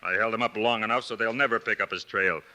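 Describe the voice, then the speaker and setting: A man's voice: lowish voice, fairly even intonation, quick pace, sharp tone. A sneering outlaw henchman. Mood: scornful. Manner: drawling, menacing. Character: sneering male outlaw henchman, mean bully. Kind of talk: 1950s radio western acting.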